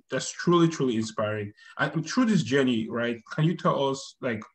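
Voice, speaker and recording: A man in his thirties hosting a conversational interview over a video call, speaking haltingly with repeats. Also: fairly low pitch. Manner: halting, with many hesitations and repeats. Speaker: podcast host. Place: indoor room, video call.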